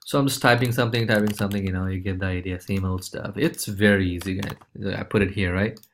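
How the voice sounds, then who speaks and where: Low-pitched man giving a casual software tutorial. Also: fairly even intonation, quick pace, a little hiss or hum. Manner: informal, loose, repeats check-in tags like okay and right. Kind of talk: casual screencast walkthrough.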